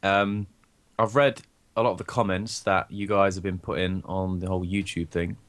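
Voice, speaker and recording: A croaky-voiced young man chatting openly and rambling as co-host of a casual podcast. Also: low voice, level tone, normal speed. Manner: rambling, open, laughs often. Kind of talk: casual chat.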